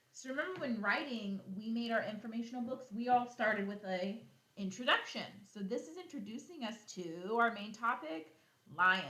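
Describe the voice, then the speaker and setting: A woman teacher giving a read-aloud lesson to young children, instructive and animated, asking questions and then answering them herself. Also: medium pitch, echoey room. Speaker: elementary school teacher.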